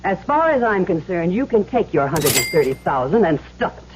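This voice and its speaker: A woman's voice: on the low side, big pitch swings, a little fast. An actress playing a feisty, principled, big-hearted woman in an old-time radio drama, blunt, defiant and emphatic. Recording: dull old radio recording, faint hum.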